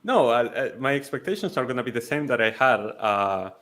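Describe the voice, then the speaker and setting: A man's voice: medium pitch, big pitch swings, fairly quick, a bit bright. A man talking casually as a panelist in a football discussion.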